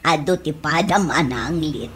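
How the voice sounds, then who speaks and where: A woman's voice: deep voice, background noise, exaggerated voice. A woman with an Ilocano accent playing a boisterous wife who peddles perfume in a comedy radio drama.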